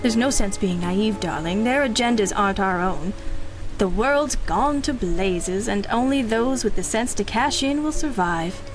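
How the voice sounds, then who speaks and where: A woman's voice: mid-range voice, lively intonation, a little slow, lightly acted, low-quality recording. An actress playing a cynical, ruthless female mercenary in a 1930s-style radio drama, speaking with arch, mocking condescension. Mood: coolly mocking.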